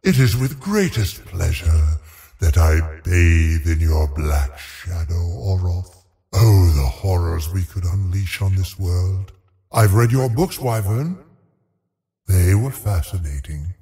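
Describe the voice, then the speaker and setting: An actor playing a haughty, cruel undead sorcerer in a fantasy video game, gravelly and menacing, with theatrical, imperious delivery. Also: male voice, low voice, animated, slow, dark tone, heavily acted. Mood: smug, scheming. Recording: long cavernous reverb.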